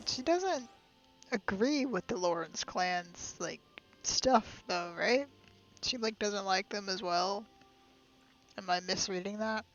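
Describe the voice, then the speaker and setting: A woman's voice: mid-range voice, takes it slowly. A woman game streamer giving casual live commentary in an informal, slangy, sweary manner. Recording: dull lo-fi stream, game music behind.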